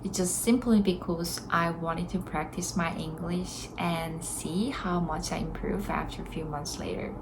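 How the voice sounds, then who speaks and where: A woman's voice: on the low side, steady tone, measured pace, hardly any pauses, noisy background. A young Korean woman with a Korean accent, speaking English as a second language in a chatty, earnest vlog to camera. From South Korea. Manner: chatty, earnest, laughs between thoughts. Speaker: vlogger. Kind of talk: casual vlog talk to camera.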